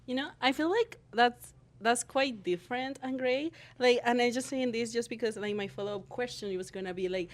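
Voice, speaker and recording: A woman co-hosting a podcast, asking questions in a halting way with many fillers. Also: a little high. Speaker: podcast co-host. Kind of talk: casual chat.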